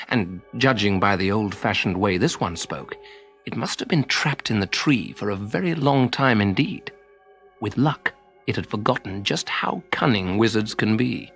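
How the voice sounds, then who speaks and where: A man narrating a children's story in an expressive storybook style. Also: on the low side, unhurried, faint music behind.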